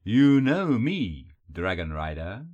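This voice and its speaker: A man voicing a sly, taunting elf captor in an audiobook, with a honeyed, throaty voice and a mocking, drawn-out purr. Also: medium pitch, wildly swooping pitch, highs cut off. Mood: menacing, smug. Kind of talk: audiobook character voice.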